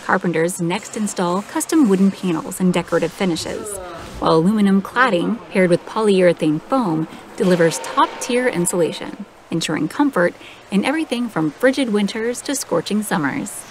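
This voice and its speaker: A woman reading a scripted documentary voice-over in a smooth, measured, polished way. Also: mid-range voice, animated, noisy background. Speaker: documentary narrator. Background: background music.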